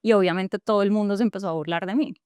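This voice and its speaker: A woman's voice: medium pitch, rapid-fire, a little mellow, clean tone, no room sound. A woman in her thirties from Colombia's coffee region, speaking with its accent as an interview guest, earnest and reflective with many fillers and restarts. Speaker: guest, tech entrepreneur.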